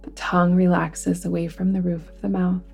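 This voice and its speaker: A woman guiding a relaxation meditation in a soothing, deliberate manner, with phrases that sometimes fall to a hush. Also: lowish voice, flat delivery, vocal fry, husky, faint music behind. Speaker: yoga nidra guide. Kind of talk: guided relaxation meditation narration.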